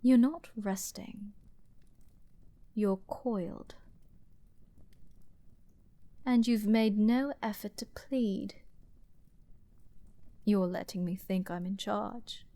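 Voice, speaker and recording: Woman playing a haughty, slow-spoken princess. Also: medium pitch, dark tone, no echo. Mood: knowing, menacing. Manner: haughty, theatrical, drawn-out aristocratic phrasing. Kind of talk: dramatic fantasy audio roleplay, ASMR-like close delivery.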